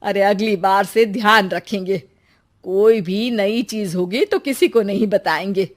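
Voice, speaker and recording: An earnest young family man in a domestic storybook tale, acted by a woman narrator. He speaks in a plain, direct way, pitched a little under the storyteller's own voice. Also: measured pace, close to an everyday voice. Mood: resolved.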